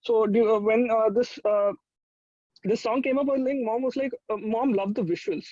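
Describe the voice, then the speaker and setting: Young indian guy, high voice, rambly excited casual chat, dry muffled lofi recording. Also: normal speed, no room sound. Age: young adult. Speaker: musician guest.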